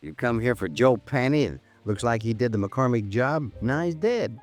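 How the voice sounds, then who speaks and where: A man's voice: medium pitch, lively intonation, moderate pace, soft background music, close to an everyday voice. A man acting an earnest, fretful, folksy American insurance man in a 1950s radio drama. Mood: worried, earnest.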